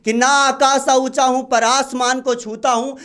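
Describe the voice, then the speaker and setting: A man's voice: extremely high pitch, flat delivery, measured pace, a bit bright, clear, resonant tone. A man reciting patriotic poetry at an open mic, declaiming and repeating lines for emphasis. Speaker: poet.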